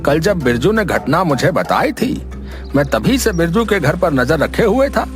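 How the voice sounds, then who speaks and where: An indignant village headman, acted by a man, makes accusations in a story drama. The voice is high and very animated. Faint music runs behind. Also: measured pace. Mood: accusing. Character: indignant male village headman exposing a culprit.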